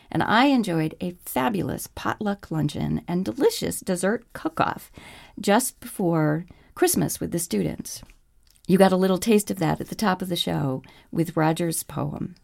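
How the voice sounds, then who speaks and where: A woman with a lowish voice hosts a talk show from a studio. There is some vocal fry in her voice. Her delivery is friendly and measured. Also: darkish tone. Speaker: podcast host. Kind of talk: scripted introduction and interview. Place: radio studio.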